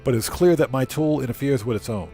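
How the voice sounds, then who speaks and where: A man's voice: medium pitch, fast talker, mellow, soft background music. A man hosting a livestream, chatting informally and thinking aloud as he plays a game and reads aloud from the screen. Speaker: live streamer and channel host. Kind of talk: casual livestream game commentary, reading chat and on-screen text aloud.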